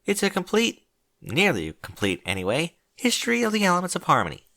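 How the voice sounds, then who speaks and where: A man's voice: high voice, wildly swooping pitch, fairly quick, pushed and bright, voice pushed up, heavily acted. A man voicing a bookish, anxious female unicorn who speaks earnestly and fretfully in a storybook reading. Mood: friendly, explaining.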